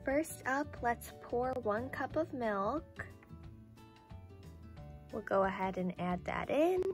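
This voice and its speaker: Woman voicing a cheerful high-pitched doll girl. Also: expressive, slow, faint music behind. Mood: cheerful, instructional. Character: cheerful doll girl baking in her play kitchen. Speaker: toy channel host. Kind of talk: scripted doll play narration.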